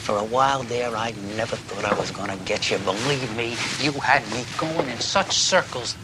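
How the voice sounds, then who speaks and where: An actor playing a dogged, deferential police detective, speaking in halting, folksy, musing phrases. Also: male voice, medium pitch, natural voice. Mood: animated, musing. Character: dogged, deferential, rumpled male police detective closing in on a killer. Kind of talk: dramatic dialogue acting. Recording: noticeable background noise.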